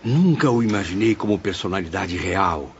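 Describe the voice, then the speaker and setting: Um homem interpretando um espírito sincero e reverente num audiolivro dramatizado, com fala formal e expressiva. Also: male voice, low-pitched, expressive, normal speed, slight background noise. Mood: awed. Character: Camilo, earnest male spirit recounting his afterlife apprenticeship. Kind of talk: scripted audio-book drama in Portuguese. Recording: slightly dull audio with music behind. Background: music.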